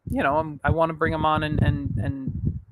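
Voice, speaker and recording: A man talks loosely to his livestream viewers, trailing into fillers and restarts as he goes. His voice sits a little high and has a gravelly, fry-laden edge. It turns raspy now and then. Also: sing-song, moderate pace, noisy background. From New York. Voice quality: raspy at times. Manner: rambling, frequent fillers and restarts. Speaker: podcast host. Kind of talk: casual livestream announcement.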